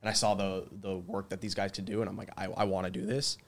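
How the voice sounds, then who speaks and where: A man in his twenties talks as a guest in a casual interview. His voice sits fairly low. He chats loosely, with plenty of fillers along the way. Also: flat delivery, fast talker, gravelly. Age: 20s. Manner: laughs often, lots of fillers. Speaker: guest, mason.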